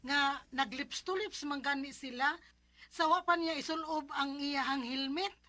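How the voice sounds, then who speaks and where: High-voiced Bisaya gossip. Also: female voice, measured pace. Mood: gossipy. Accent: Cebuano Filipino. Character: gossiping female acquaintance, slyly tattling. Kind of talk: Bisaya radio drama acting.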